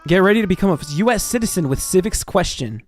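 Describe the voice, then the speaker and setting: An American man livestreaming in casual chat, excitable and sweary, reacting and reading things aloud. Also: high-pitched, fast talker, faint music behind. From Texas, United States. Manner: excitable, swearing, jumps from muttering to shrieks. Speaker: livestream host.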